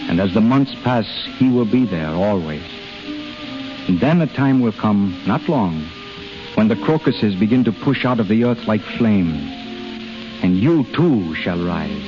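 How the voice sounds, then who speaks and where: Intense healer, tender but commanding, halting delivery. Vintage radio drama, lowish voice, music underneath. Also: male voice, expressive. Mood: hushed, soothing. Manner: halting, emphatic, repeats phrases. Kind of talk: 1930s radio drama acting. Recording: muffled 1930s radio transcription, music behind.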